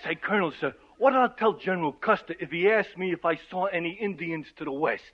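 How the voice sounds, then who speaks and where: An actor playing a naive, eager young army private who giggles and gushes, in a 1940s radio comedy. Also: male voice, high voice, a little fast. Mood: giggly, eager. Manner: giggly, goofy, gushing. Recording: dull old lo-fi radio recording with faint hiss.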